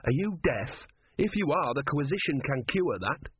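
A man voicing a steady religious novice bluffing authority, on a narrow radio-like recording. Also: a little high, normal speed, breathy edge, dry recording, voice pushed up. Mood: firm. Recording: phone-like narrow radio sound.

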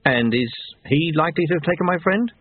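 A naive young apprentice as a male narrator plays him, hesitant, polite and impatient. His audiobook voice is pitched up and urgent, with a thin telephone-like sound. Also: lively intonation, fast, breathy edge, no echo. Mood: puzzled, earnest. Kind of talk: audiobook character voice. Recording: narrow tinny phone-like sound.

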